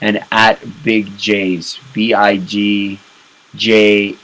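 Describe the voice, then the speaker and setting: A man's voice: fairly low pitch, level tone, drawn-out words, a bit bright, rough recording. Crude, joking man chatting casually. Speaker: podcast co-host. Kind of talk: casual live chat.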